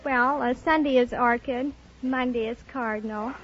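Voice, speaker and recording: A woman's voice: on the high side, steady tone, moderate pace. A woman answering a host's questions as a contestant on an old radio quiz show. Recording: dull, noisy old radio, loud audience. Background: studio audience.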